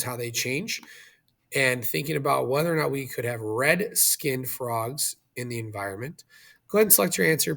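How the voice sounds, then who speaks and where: A man's voice: mid-range voice, vocal fry, fairly bright tone. A man teaching in an explanatory video lesson, speaking instructionally and checking understanding with questions as he goes.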